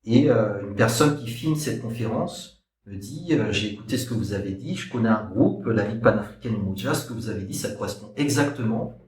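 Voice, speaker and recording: A middle-aged man giving a spoken personal account as a historian and activist, discursive and halting, repeating points for emphasis. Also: on the low side, expressive, a little mellow, a little hiss or hum. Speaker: historian and activist guest speaker. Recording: echoey room, distant microphone.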